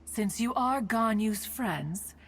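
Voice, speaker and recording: A woman's voice: fairly high pitch, expressive, takes it slowly, vocal fry, full character voice. A woman voicing a proud, ancient, motherly crane immortal in a video game, speaking in a lofty, archaic manner. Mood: fond, talkative. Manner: lofty, archaic, calls herself "one".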